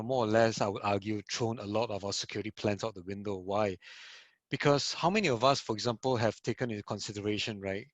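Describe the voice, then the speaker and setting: A man's voice: on the low side, no room sound. A man from Singapore speaking as a security practitioner on a webinar video call, conversational and full of "you know" and "right". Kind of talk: webinar panel talk.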